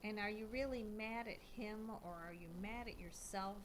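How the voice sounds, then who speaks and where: Older woman radio host; warm-voiced banter, mid pitch, noisy recording. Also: normal speed. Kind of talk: casual faith talk on radio.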